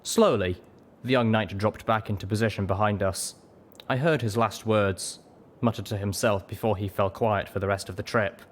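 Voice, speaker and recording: Male audiobook narrator, low register, dramatic scripted delivery, clear action sound effects underneath. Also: moderate pace, a little hiss or hum.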